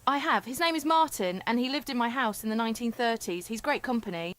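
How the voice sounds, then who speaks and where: A woman's voice: fairly high pitch, fast talker, fairly bright tone, no room sound, lightly acted. A woman acting an earnest, friendly radio phone-in caller, sincere and chatty, with a British accent.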